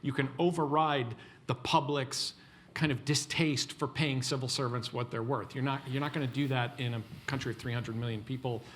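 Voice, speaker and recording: A man's voice: medium pitch, fairly even intonation, moderate pace, fairly bright tone, a little hiss or hum. A man from the United States answering questions on a panel, talking emphatically with many restarts.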